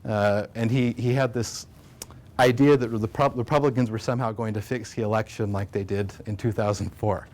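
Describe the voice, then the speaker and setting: An American man delivering an academic talk at a conference. His delivery is relaxed and unpolished, full of fillers and self-corrections. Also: fairly low pitch, brisk. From United States. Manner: relaxed, many fillers and restarts. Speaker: conference presenter. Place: conference room.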